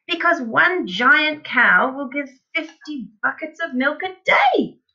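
A woman voicing a wildly excited, bossy middle-aged farmer father in a children's storybook, gushing and impatient. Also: mid-range voice, normal speed, dull audio. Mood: grandly enthusiastic. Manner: emphatic, gushing, exclaiming, impatient. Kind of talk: dramatised children's storybook reading.

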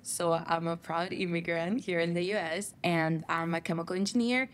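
A woman's voice: on the low side, steady tone, normal speed, slight background noise. A young woman from El Salvador, a guest on a studio talk show, chatting in an upbeat way with lots of "like" fillers. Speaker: guest, chemical engineer and content creator. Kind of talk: casual interview chat. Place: TV studio set, seated on couch.

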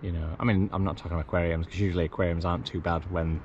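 A man's voice: sits low, little pitch movement, fast talker. Chatty man, lo-fi outdoor camera audio. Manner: chatty, rambling, frequent "you know". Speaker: vlogger. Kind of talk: casual outdoor vlog chat. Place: outdoors, kayak at sea then beach. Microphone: action camera. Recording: dull lo-fi camera audio with clear wind and water noise.

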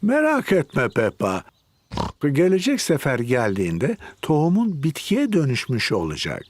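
Kindly old piggy grandad, slow and reassuring, really up n down, cartoon. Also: male voice, medium pitch, wildly swooping pitch, slightly rough, no echo, full character voice. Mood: patient, kindly. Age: older. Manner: reassuring, patient. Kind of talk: children's cartoon dub.

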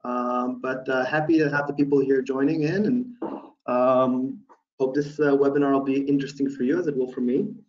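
A man presents an online webinar, pausing often with ums and uhs as he thinks aloud. His voice sits at a middling pitch and is picked up from a distance by a laptop webcam mic. The audio is dull and the small room gives it a noticeable echo. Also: fairly even intonation, a little mellow. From USA (Houston, Texas). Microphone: laptop webcam mic, video call.

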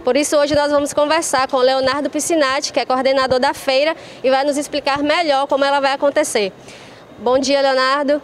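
TV reporter woman, high voice, outdoor interview with faint traffic behind. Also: steady tone, fairly quick. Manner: clear, scripted questions. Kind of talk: news interview. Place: outdoors by a mural wall. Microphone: handheld reporter mic.

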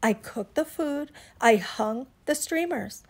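A woman's voice: a little high, expressive, moderate pace. A woman voicing a hardworking female storybook iguana, emphatic and insistent with her lazy friends. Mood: angry, insistent.

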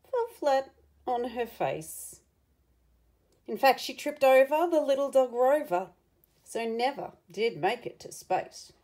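A woman reads a children's story in a lively, high voice with a soft, mellow tone. She takes her time, leaning into words with dramatic emphasis and chatting to the young listener. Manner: animated, dramatic emphasis with hushed asides. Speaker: storyteller reading a picture book aloud to children. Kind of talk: expressive children's read-along with asides to the listener.

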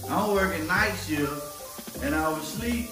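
A man's voice: a little high, a little slow, recorded from a distance. A man in his forties co-hosting a family vlog, chatting casually while he cooks. He talks in a laid-back way and often stumbles and restarts. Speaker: vlog co-host, husband. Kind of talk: casual chat while cooking. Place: home kitchen, indoors. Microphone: far camera. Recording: echoey kitchen from across the room with music.

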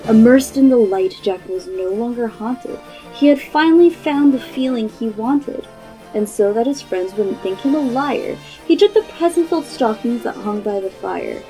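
A woman's voice: on the high side, animated, normal speed, mellow, soft background music, low-quality recording. A woman in her twenties doing a casual children's picture-book read-aloud in a small room. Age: 20s.

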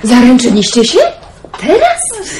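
A woman's voice: sits high, huge pitch swings, a little slow, exaggerated voice. A woman acting a naive, affectionate young niece in a Polish radio drama. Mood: surprised, puzzled. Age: young adult. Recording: noisy radio play recording.

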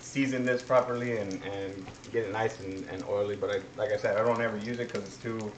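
A man in his thirties chatting casually as he hosts a home cooking show, informal and halting as he thinks aloud. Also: fairly low pitch, fairly even intonation. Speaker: host of a home cooking livestream. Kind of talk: casual chat while cooking. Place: home kitchen, indoors. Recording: echoey kitchen, noisy with sizzling pan.

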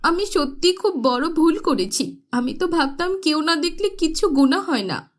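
A woman's voice: extremely high pitch, animated, normal speed, pitched above the performer's own voice. A woman voicing a spoiled, haughty young rich girl in a cartoon, with a breezy manner. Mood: remorseful.

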